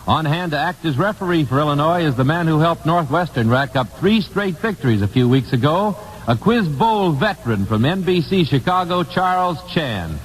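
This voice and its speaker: A man's voice: on the high side, lively intonation, normal speed, slight background noise, rough recording. An American man hosting a 1950s radio quiz show, chatty and direct, on an old broadcast recording.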